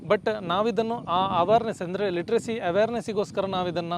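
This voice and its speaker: A man's voice: high-pitched, fairly quick, noisy background. A man with a coastal Karnataka accent answering as a company executive guest, explaining a product and mixing in English terms. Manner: code-mixes English terms. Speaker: company CEO as interview guest.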